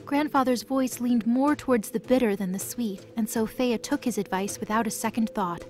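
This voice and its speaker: Woman narrating a myth, fairly high voice. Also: normal speed, darkish tone. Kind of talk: scripted story narration for a mythology audio drama. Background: music.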